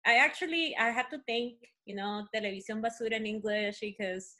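A woman's voice: a little high, brisk. A woman with a Spanish accent, a native Spanish speaker, chatting as a podcast guest and telling stories with many fillers. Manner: animated storytelling, many fillers. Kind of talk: casual conversation.

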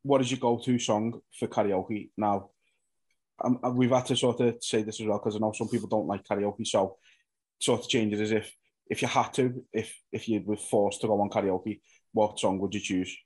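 A man's voice: fairly low pitch, steady tone, moderate pace, a little mellow, breathy edge. A man co-hosting a casual chat over a video call, rambling with many fillers. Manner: rambling, chatty, hesitant fillers. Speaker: co-host.

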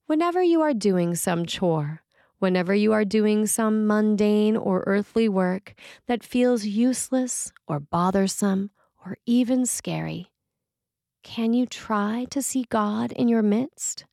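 A woman preacher reading a written sermon in a measured, reflective and earnest way. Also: mid-range voice, expressive, creaky, dark tone, no echo, clean studio sound.

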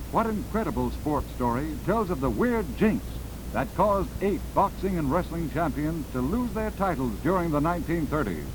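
A man with an American accent announcing and selling products in the style of 1940s radio. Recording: noisy old radio broadcast with rumble.

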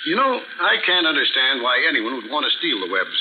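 An actor playing a casual, offhand workplace assistant in a 1940s radio drama. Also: male voice, medium pitch, sing-song, brisk, natural voice. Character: easygoing male assistant at a spider business. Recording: tinny, noisy 1940s radio recording.

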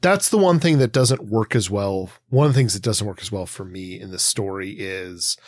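A man co-hosting a literary podcast, giving casual analysis in a halting, explanatory way. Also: fairly low pitch, no room sound, studio quality. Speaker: podcast co-host.

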